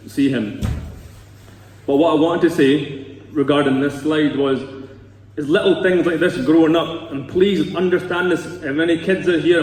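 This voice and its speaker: A man's voice: mid-range voice, a little mellow. A man in his thirties with a Scottish accent, giving an earnest, rambling personal talk to a congregation. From Scotland. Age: 30s. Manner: earnest, rambling, with asides to the audience. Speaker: speaker at a Sikh gurdwara. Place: large echoey gurdwara hall. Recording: echoey hall recorded from a distance.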